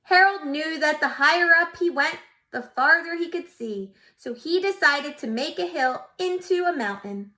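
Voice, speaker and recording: A woman teacher reads a storybook aloud to little children in a high, bright voice. Her pitch swings up and down with lively expression, and she stops to ask the kids gentle questions. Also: normal speed. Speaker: preschool teacher. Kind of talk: reading a picture book aloud to young children.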